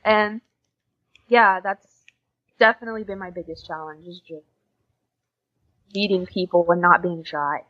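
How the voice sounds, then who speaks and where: A woman's voice: on the low side, a little slow, long pauses, highs cut off. A young American woman chatting casually and openly as a guest in an interview. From USA. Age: young adult. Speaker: podcast guest, exchange student.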